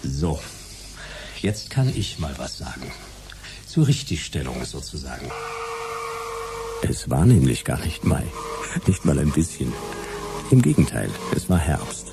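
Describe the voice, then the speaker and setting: An actor playing a grumpy, nostalgic private detective of the future in a German radio play, wry and laconic. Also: male voice, a bass voice, very animated, a little slow, creaky, pauses often, exaggerated voice. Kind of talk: German radio play, first-person noir narration. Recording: loud music behind the voice.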